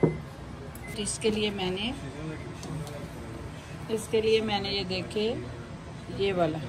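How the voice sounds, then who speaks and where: A woman's voice: medium pitch, fairly even intonation, takes it slowly. A woman giving a casual step-by-step cooking demonstration in a home kitchen, often repeating her phrases as she shows each step. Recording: noisy home kitchen recording.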